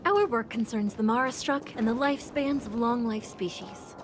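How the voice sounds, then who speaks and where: A woman's voice: on the high side, normal speed, background noise, lightly acted. An actress playing a cool, stern female judge in a video game, speaking in clipped, formal, businesslike phrases. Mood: cool, official. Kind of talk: scripted video game dialogue.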